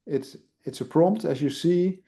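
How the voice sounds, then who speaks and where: A man's voice: on the high side, measured pace, dark tone. A man with a Dutch accent giving a casual live online demo, easygoing and full of fillers. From Netherlands. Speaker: presenter, university IT product owner.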